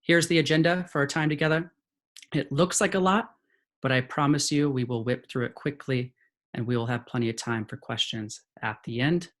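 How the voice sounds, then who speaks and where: A man's voice: mid-range voice, fairly even intonation, normal speed, fairly bright tone. Upbeat man presenting. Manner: upbeat, polished. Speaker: head of sales, presenter. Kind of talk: webinar presentation. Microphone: video call.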